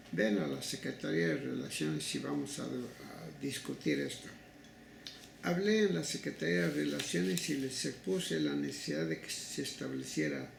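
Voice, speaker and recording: An older Mexican man recounts past cases as an interviewee. His voice is very gravelly and fairly high for a man, and he speaks in a halting, deliberate way. The room rings with echo around him. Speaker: interviewee, human rights legal advocate. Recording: echoey room with faint noise.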